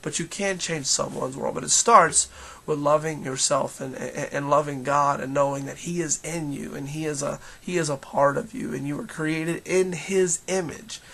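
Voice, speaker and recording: Hoarse, bright-voiced man, gravelly edge. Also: fairly high pitch, fairly even intonation, normal speed. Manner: earnest, encouraging, with many restarts and fillers. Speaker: church leader giving a daily video devotional. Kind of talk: casual devotional vlog.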